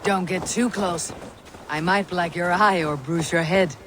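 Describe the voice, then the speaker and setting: A tough young Viking raider woman, played by an actress in a video game, talks in a lowish voice. She is stoic and guarded, with short dry phrasing, against clearly noisy outdoor ambience. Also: fairly even intonation, unhurried, close to an everyday voice. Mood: dry, challenging.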